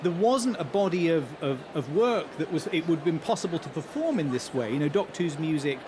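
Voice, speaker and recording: A man's voice: fairly high pitch, animated, a little fast. A man giving articulate expert explanations as a guest in a live interview at a large concert hall. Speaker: guest commentator, broadcaster. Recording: background noise of a busy venue.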